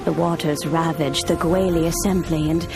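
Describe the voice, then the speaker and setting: An actress playing an ageless, wise immortal woman in a video game, telling old tales in a solemn, measured, formal way. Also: low-pitched, steady tone, music in the background. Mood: reverent storytelling. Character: ageless immortal adeptus woman, wise, stately, nostalgic storyteller. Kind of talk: dramatic video game storytelling.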